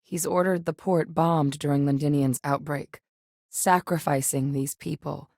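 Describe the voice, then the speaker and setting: An actress voicing an earnest female space officer in a video game, speaking game voice lines in a measured way. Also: sits low, fairly even intonation, darkish tone, no echo. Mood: determined. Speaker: game companion character. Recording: dry studio recording.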